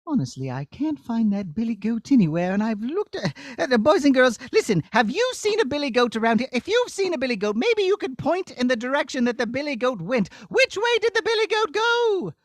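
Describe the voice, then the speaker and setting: Puppet-show princess: young, fussy and prim, high voice with swooping, lively pitch. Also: male voice, fairly quick, hardly any pauses, no echo. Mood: chatty, helpful. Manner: exclaiming, sing-song calling, prim. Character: chatty, fussy, easily flustered young princess. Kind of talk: children's puppet show acting.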